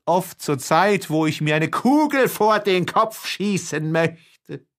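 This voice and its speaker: Audiobook role: tormented young male lover, played by a male reader, slow, impassioned, sighing, sensitive. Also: sits high, animated, pitched above the performer's own voice. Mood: exalted. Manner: impassioned, sighing, swinging between hush and exaltation. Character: lovestruck, tormented, sensitive young man writing letters.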